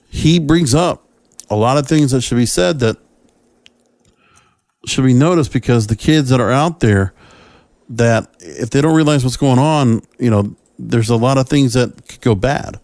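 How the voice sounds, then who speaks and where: Male solo podcast host, medium register, rambling and halting commentary delivery, dry recording. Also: medium pitch, a little mellow, no room sound.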